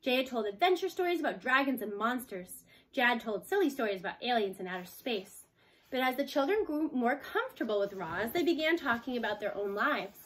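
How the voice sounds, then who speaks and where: A woman with a fairly high voice reads a children's book aloud. She narrates the scripted story as a storybook reader would for young listeners. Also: big pitch swings, fairly quick.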